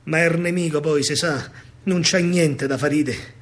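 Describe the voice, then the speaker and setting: A man's voice: fairly high pitch, measured pace, slight background noise. A man with a Roman accent performing a theatrical verse monologue as a wartime soldier, declamatory, rhythmic and intensely emotive. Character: wartime Italian soldier recounting a battle. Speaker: stage actor and storyteller. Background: music.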